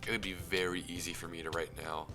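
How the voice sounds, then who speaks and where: Young man, very low voice, earnest, reflective, breathy trailing whispers, music underneath. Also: little pitch movement, fast. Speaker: YouTube commentator. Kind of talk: earnest personal commentary.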